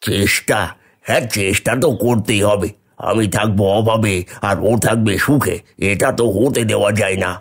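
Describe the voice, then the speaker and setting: A man's voice: lowish voice, normal speed, vocal fry. A man playing an envious, scheming village younger brother who grumbles resentfully in a moral-tale cartoon. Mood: bitter resentment.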